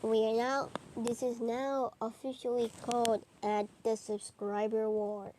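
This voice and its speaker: A woman reading a homemade mock emergency broadcast in a halting, improvised way with stumbles. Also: on the high side, little pitch movement, background noise. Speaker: narrator of a homemade emergency alert scenario.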